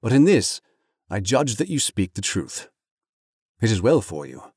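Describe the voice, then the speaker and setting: A man acts out a grave storybook captain, stern and judicious. He commands in formal, old-fashioned phrases with a wide-moving pitch, recorded dry and close. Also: very animated, unhurried, darkish tone, breathy edge, dry recording, exaggerated voice. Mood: measured judgement.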